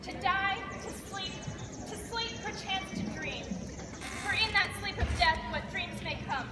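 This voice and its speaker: A woman's voice: very high voice, measured pace. A young woman performing a brooding prince in a projected Shakespearean stage monologue. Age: teenager. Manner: declamatory, projected. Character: brooding prince weighing life and death. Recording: very noisy echoey courtyard, distant mic.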